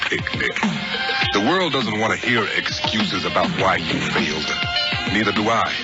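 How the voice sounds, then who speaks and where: A stern American male math teacher, demanding yet caring, with a low voice. It sounds like a radio spot, muffled, with loud music underneath. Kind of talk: dramatized public service radio ad. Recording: dull radio broadcast, loud music behind.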